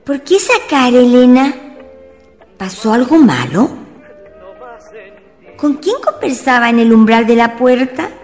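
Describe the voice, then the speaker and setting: A woman acting as a watchful, inquisitive Chilean mother in a radio drama, calling and questioning in drawn-out phrases. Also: a little high, very animated, a little mellow. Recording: long echoing hall, music behind.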